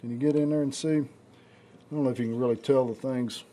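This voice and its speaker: A man with a hoarse, raspy voice from the flu, hosting a casual knife show with rambling talk and many fillers. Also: medium pitch, steady tone, a little fast, warm tone. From United States. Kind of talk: casual product demonstration.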